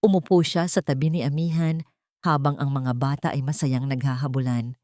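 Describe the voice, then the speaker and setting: A woman narrating a scripted romantic drama with sentimental, deliberate phrasing. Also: a bass voice, steady tone, darkish tone, no room sound, clean studio sound.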